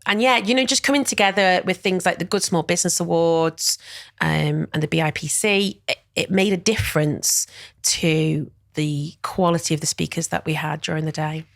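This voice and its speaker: A woman's voice: fairly low pitch, fairly even intonation, normal speed, gravelly, no echo, clean studio sound. A middle-aged woman talking as a guest in an interview, chatty and full of fillers. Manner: chatty, warm-hearted, many fillers and "you know". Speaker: guest, wellness event director. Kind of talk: casual interview chat.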